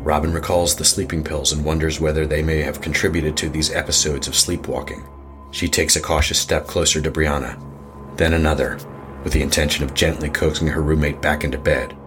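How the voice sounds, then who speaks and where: A man narrating a scripted horror story in a deliberate, dramatic way. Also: extremely low pitch, steady tone. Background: music under the voice.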